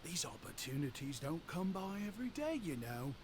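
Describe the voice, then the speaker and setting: An actor playing an eager, flattering, easygoing young shopkeeper in a video game, effusive and smooth-talking. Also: male voice, fairly high pitch, normal speed, background noise. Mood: breezy, obliging. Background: game music.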